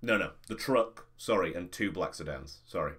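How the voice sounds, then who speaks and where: A man's voice: sits low, expressive, moderate pace, a bit bright, slightly rough. A man speaking as game master, improvising casually in loose, informal, conversational phrasing.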